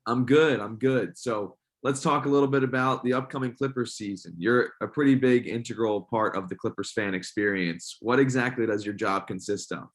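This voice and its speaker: A man with a mid-range voice conducts a friendly interview. He is a sports play-by-play announcer and puts clear questions to his guest. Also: medium pitch, moderate pace, few pauses.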